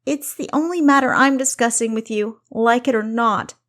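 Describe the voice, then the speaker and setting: A woman's voice: on the high side, expressive, a little slow, pitched above the performer's own voice. A woman reading a storybook voices a furious, sarcastic younger sister who snarls her words. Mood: bitter.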